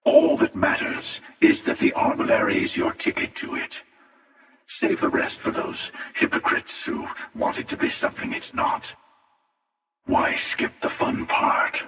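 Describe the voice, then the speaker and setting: A man voicing a cynical, world-weary hunter in a video game, gravelly, sardonic and theatrical, with amused menace. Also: measured pace, pushed and bright. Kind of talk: scripted video game character dialogue. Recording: cavernous echoing reverb, distant.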